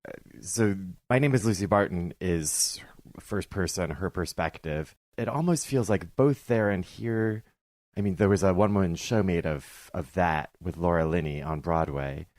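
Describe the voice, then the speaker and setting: A man's voice: low voice, moderate pace, a little mellow, dry recording, clean studio sound. A man speaking as a podcast guest, giving emphatic, opinionated book criticism in a conversational way.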